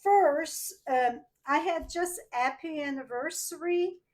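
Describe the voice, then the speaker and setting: A woman's voice: sits high, takes it slowly. A woman with a French Canadian accent, whose first language is French, chatting her way through a live card-making tutorial and asking viewers questions as she works. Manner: chatty, thinks aloud, asks viewers directly.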